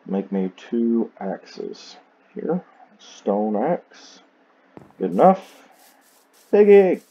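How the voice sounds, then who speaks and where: A man's voice: fairly low pitch, big pitch swings, drawn-out words, dull audio. A man with a Southern US accent rambling casually to himself as he gives gameplay commentary. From Southern United States. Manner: self-talking, rambling. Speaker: gaming series host. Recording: clearly noisy home recording with clicks.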